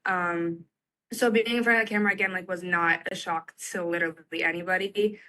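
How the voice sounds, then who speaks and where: A woman's voice: lowish voice, fairly even intonation, fairly quick, sharp tone, poor audio. A woman answering interview questions in casual chat, as a content creator guest. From United States and Egypt.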